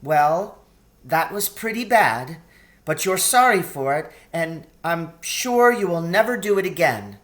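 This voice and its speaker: A kind young girl from a storybook, acted out by a man speaks in a raised, light pitch. She is earnest and polite, with an anxious edge. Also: big pitch swings, measured pace, a bit bright. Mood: consoling.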